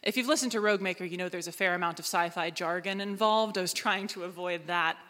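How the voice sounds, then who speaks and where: A woman answering questions on a live panel as a drama writer, talking in an animated, emphatic, conversational way. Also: on the low side, quick pace. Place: live event room at a festival. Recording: echoey hall, long reverb.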